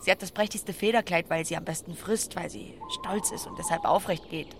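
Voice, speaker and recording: Woman acting countrywoman, blunt yet tender, gravelly, hushed and intimate, audio drama, faint background noise. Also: on the low side, brisk, close to an everyday voice. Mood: tender, playful. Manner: hushed, intimate, often half-whispering. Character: blunt, tender pig-farming countrywoman in love.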